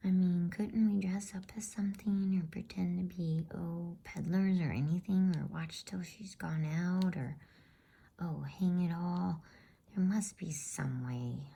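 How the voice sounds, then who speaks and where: A woman voicing an earnest, indignant schoolboy older brother with halting urgency, in a bedtime story reading. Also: lowish voice, vocal fry, dark tone, breathy edge, heavily acted. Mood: urgent, pleading.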